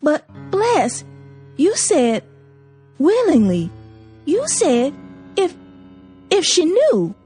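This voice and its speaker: A woman's voice: sits high, huge pitch swings, very slow, long pauses, warm tone, faint music behind, voice pushed up, heavily acted. A woman reading aloud, voicing a trembling young girl who stammers and pleads with her love. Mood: pleading.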